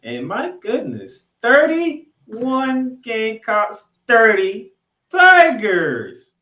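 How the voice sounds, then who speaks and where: A man hosting a sports podcast, recapping games in an animated, emphatic showman's delivery with dramatic announcements. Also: very high-pitched, very slow. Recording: echoey room, distant from mic.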